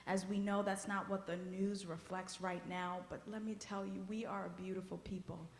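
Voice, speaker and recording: A woman gives a heartfelt personal testimony, her voice carrying through a roomy church hall with a long, lingering reverb. Her pitch sits in the middle range for a woman. She tells her family stories in an open, storytelling way, often breaking into laughter. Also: flat delivery, moderate pace, a little hiss or hum. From United States. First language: Haitian Creole. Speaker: guest speaker. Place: church sanctuary.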